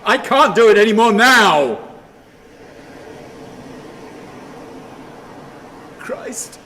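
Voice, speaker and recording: Actor as an anguished, volatile, high-voiced haunted man. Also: sing-song, sharp tone, heavily acted. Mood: desperate, agitated. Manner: halting, volatile, erupting from muttering into cries. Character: anguished, haunted man pleading and brooding aloud. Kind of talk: radio play acting.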